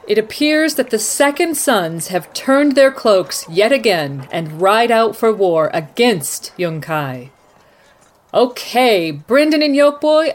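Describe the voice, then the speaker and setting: A woman playing an excitable news anchor covering a war live in a radio play. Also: on the high side. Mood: alarmed.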